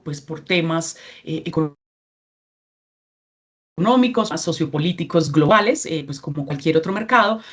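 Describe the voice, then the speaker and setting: A woman in her forties with a Colombian accent, giving a measured presentation at a training workshop, with frequent filler sounds and restarts. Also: on the low side. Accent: Colombian Spanish. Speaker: regional representative giving a training talk.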